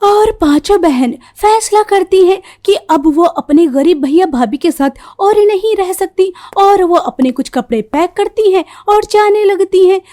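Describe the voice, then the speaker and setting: A woman's voice: extremely high pitch, animated, a little slow, few pauses, warm tone. A woman reading scripted narration as the storyteller of a moral tale.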